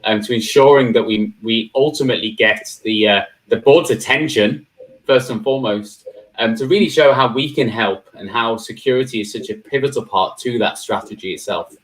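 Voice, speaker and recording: A man's voice: medium pitch, moderate pace, low-quality recording. A man hosting a live cybersecurity roundtable stream, chatty and full of fillers and restarts. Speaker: host.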